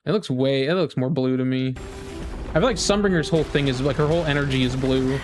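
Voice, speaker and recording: A man giving casual, excitable, rambling reaction commentary on a video game. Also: medium pitch, quick pace. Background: music from the game trailer.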